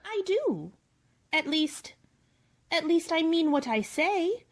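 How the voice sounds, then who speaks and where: A prim, curious young girl, played by a woman, with a high voice and lively pitch. She speaks precisely and slowly, in radio-play style. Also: exaggerated voice. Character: prim, curious, polite but scolding girl.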